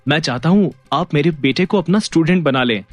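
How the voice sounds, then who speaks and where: A man's voice: on the high side, brisk, no room sound, soft background music, close to an everyday voice. An actor playing a worried, reserved father in an audio drama, speaking in a serious, formal and courteous way. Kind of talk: Hindi audio drama acting.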